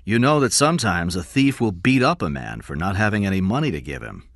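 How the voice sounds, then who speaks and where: A man voicing a calm, matter-of-fact police detective in an audiobook thriller, blunt and measured. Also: lowish voice, gravelly, no room sound, studio quality, pitched above the performer's own voice, full character voice.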